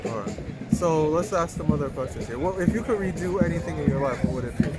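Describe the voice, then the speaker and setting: A man's voice: fairly high pitch, animated, quick pace, loud music behind the voice, low-quality recording. A man asking informal, slangy questions as a casual street interviewer. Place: outdoors in a city street.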